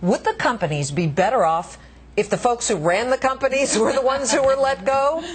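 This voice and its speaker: A woman's voice: on the high side, normal speed, a little hiss or hum. A woman co-hosting a business news panel, pressing her points emphatically. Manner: emphatic, questioning. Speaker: news co-host.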